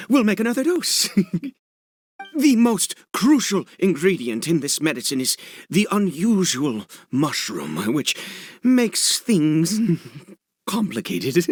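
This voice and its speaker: An actor playing a desperate, obsessive father in a video game, speaking in a halting, stammering, nervous mutter. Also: on the high side, slightly rough, no echo, studio quality, exaggerated voice. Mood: manic, secretive. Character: desperate, obsessive father brewing medicine for his son. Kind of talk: dramatic video game voice acting. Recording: dialogue over background music.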